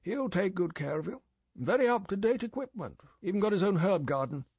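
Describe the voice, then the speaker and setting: A man's voice: high-pitched, sing-song, brisk, a little mellow, breathy edge, no room sound, pitched above the performer's own voice, exaggerated voice. A man reading an audiobook, voicing M, a stern older male spy chief who lectures in a clipped, scolding way. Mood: brisk cheerful.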